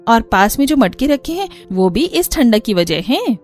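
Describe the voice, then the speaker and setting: A woman's voice: a little high, big pitch swings, normal speed, soft background music. A woman voicing a cheerful, proud young village daughter-in-law in a cartoon, explaining eagerly. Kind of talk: Hindi cartoon character dialogue.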